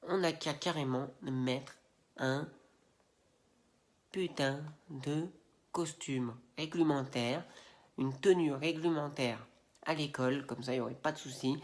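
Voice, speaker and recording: A man speaks to camera for his video channel, nose blocked from a cold. His talk is loose and slangy, wandering through fillers and restarts with a steady indignant edge. His pitch sits in the middle range. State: has a head cold, stuffy nose. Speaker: Instagram video creator. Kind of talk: casual opinion rant to camera.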